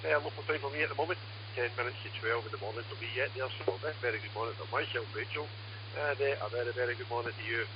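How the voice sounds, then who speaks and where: A man's voice: mid-range voice, rapid-fire. A man making an effusive, courteous amateur radio contact in practised operator patter. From Scotland. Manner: effusive, courteous, repetitive phonetic spelling. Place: radio transmission. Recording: muffled radio link with loud hum.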